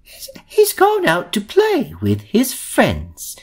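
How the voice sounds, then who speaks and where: Mother bunny for a children's storybook, played by a man: raised pitch, animated, firm motherly manner. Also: slow, breathy edge, exaggerated voice. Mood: reassuring. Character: mother bunny, scolding yet reassuring.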